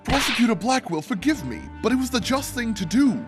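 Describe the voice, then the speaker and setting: A man's voice: extremely high pitch, very animated, a little fast, over music. A man in a game fan dub voicing an upright, flustered detective who is earnest and apologetic.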